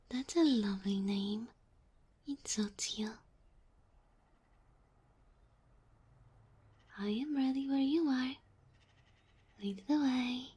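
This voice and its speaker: High, breathy, sweet fox-girl played by a woman. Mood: apologetic, polite. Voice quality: soft, breathy, whispery. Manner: formal, courteous, slightly bashful. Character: sweet, polite kitsune fox-girl familiar, female, ageless. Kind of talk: ASMR roleplay.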